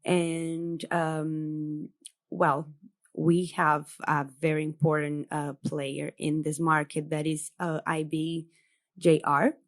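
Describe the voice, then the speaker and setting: A woman's voice: deep voice, flat delivery, slow. A woman who is a native Portuguese speaker, a journalist guest, explaining in a chatty way with many fillers on a remote podcast call. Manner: chatty, filler-heavy, laughs between points. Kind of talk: casual podcast interview. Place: home in Brazil, remote call.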